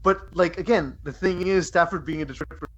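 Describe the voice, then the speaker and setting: Casting: male sports podcast co-host, fairly high voice, loose conversational delivery, dull lo-fi sound. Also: a little fast, a bit bright. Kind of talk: casual sports chat.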